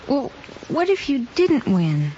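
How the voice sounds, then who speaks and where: A young woman acting an earnest, teasing high school girl, chatty and questioning, in 1950s radio drama style. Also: big pitch swings, slow pace, warm tone, exaggerated voice. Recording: muffled, faintly noisy old radio recording.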